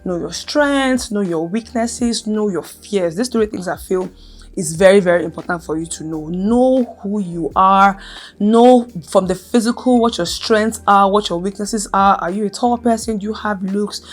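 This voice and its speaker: A woman's voice: medium pitch, normal speed. Earnest young woman vlogger with a stutter. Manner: earnest, emphatic, repeats key phrases. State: speaks with a stutter. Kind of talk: casual talk to camera.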